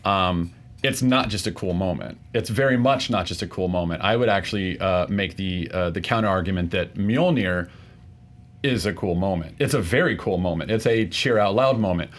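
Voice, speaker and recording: A man's voice: deep voice, moderate pace. A man debating pop culture casually as a show contestant, making his case emphatically and repeating phrases for stress.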